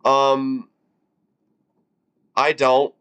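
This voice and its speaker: A man's voice: mid-range voice, completely flat delivery, drawn-out words, a bit bright, clean tone. A man in his twenties on a live stream, reacting casually and rambling with lots of fillers and the odd swear word. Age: 20s.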